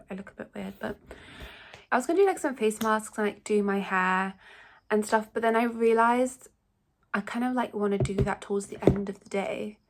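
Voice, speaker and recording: Young woman, stuffy congested voice, chatty and rambling, casual vlog at home, mid pitch. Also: slight background noise. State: congested from a cold. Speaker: beauty vlogger. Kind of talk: casual get-ready-with-me chat. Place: at home, shared house.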